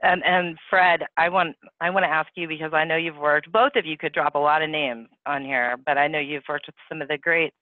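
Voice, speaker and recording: A man's voice: fairly high pitch, steady tone, a little fast, few pauses, no room sound. A man hosting a panel interview, asking questions in a chatty way with frequent restarts. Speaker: interview host. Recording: dry, tinny phone-like audio.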